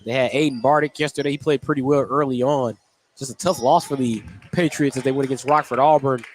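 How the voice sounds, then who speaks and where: A man's voice: mid-range voice, fairly even intonation, fast, a little hiss or hum. A man doing live play-by-play radio commentary on a basketball game as the announcer. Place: courtside in a gymnasium.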